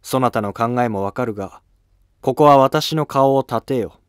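A man's voice: medium pitch, normal speed, smooth, no room sound, clean studio sound, natural voice. A man playing a commanding empress in a historical drama, speaking formally and with emphasis. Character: firm, commanding empress, an adult woman.

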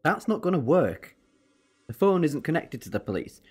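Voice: mid-range voice, big pitch swings, moderate pace, a little mellow, husky. An actor playing an eccentric alien time traveller in an audio drama, wry and quibbling but earnest and persuasive. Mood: exasperated, insistent. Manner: wry, quibbling, turning solemn.

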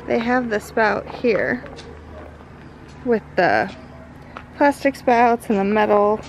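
A woman's voice: mid-range voice, expressive, slow pace. A woman in her twenties giving casual, enthusiastic travel vlog narration full of exclamations. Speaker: vlogger. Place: farm sugarhouse and outdoors. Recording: noisy on-location camera audio.

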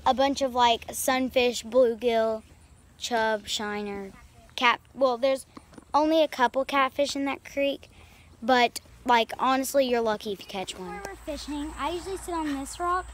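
A woman's voice: high-pitched, a little slow. A female vlogger chatting casually outdoors by a small creek into a handheld camera, rambling with lots of fillers. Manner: rambling, filler-heavy, upbeat. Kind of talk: casual vlog chat.

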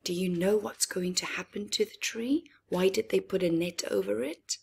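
A middle-aged woman reading a story aloud to young children, expressively, with dramatic pauses and chatty asides. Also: medium pitch, gravelly, husky. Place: living room, indoors.

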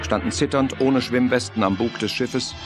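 Male horror audio-drama narrator, mid-pitched, dramatic read over prominent music. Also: normal speed. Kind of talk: dramatic scripted horror radio-play narration. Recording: loud music and sound effects behind.

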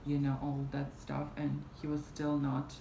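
Young woman vlogger, low flat gravelly voice, fan humming in the background. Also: a little slow. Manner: rambling, many fillers and restarts. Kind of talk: casual storytime vlog. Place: small room at home, indoors, fan running. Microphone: camera in front at arm's length. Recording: roomy with fan noise behind. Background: fan hum.

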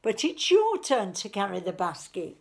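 A woman's voice: medium pitch, huge pitch swings, normal speed, gravelly, exaggerated voice. A woman voicing a friendly but fussy duck from a children's storybook, sing-song and emphatic. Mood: agreeable.

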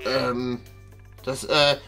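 A man's voice: mid-range voice, level tone, very slow, vocal fry, music in the background. A man playing an awkward, gullible babysitter in a children's comedy skit, stammering and bumbling as he talks. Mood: hesitant, flustered. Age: young adult. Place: small furnished rooms indoors.

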